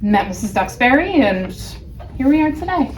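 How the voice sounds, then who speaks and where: A woman's voice: sits high, very animated, moderate pace, echoey room. Middle-aged American woman, teacher, casual interview answers, distant mic, faint noise. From New Hampshire, United States. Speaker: elementary school teacher being interviewed.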